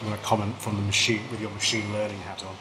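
Middle-aged English academic, low and quiet, halting; echoey room mic with noise. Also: male voice, level tone. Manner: soft-spoken, halting restarts. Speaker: panelist. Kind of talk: academic panel discussion. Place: seminar room with a panel table. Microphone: table microphone.

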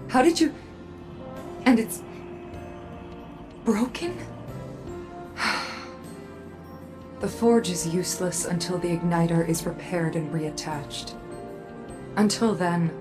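An actress playing a proud, guarded robot armorer in a video game, speaking in a measured, cool, disdainful manner. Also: female voice, fairly low pitch. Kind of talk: video game character acting. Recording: game music under the voice.

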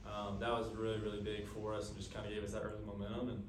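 A young man answering questions at a postgame press conference, earnest and quick, with lots of fillers. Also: on the low side, monotone, slight background noise. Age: young adult. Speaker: college quarterback. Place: press room, seated at a table. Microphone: table mic, camera at a distance. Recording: echoey room, from a distance.

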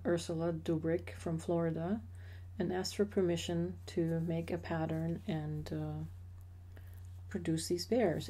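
Middle-aged woman, slideshow voice-over, measured explanatory delivery, flat low voice. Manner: measured, explanatory, with occasional fillers. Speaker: associate professor sharing a hobby.

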